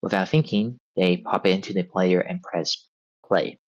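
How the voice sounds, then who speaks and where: A man with a fairly low voice narrates scripted scene directions at a table read. The sound is dry and close, a little dull in the highs. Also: fairly even intonation, normal speed, no echo.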